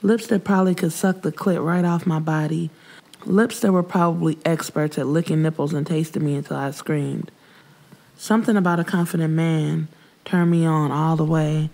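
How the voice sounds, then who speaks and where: A woman's voice: sits low, fairly even intonation, moderate pace, a little mellow. A woman narrating first-person urban fiction in a casual, frank, streetwise way. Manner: frank, streetwise delivery with swearing. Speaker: audiobook narrator.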